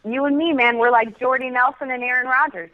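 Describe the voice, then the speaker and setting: A woman's voice: high-pitched, steady tone, fairly quick, no echo. A woman calling in over an internet call to join the podcast, chatting playfully. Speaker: caller. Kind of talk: casual chat over a call. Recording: dull, dry call-in line.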